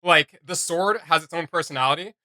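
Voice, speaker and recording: A man chatting casually as a reaction video host, excitable and prone to sudden bursts of volume. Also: on the high side, brisk, dry recording, clean studio sound.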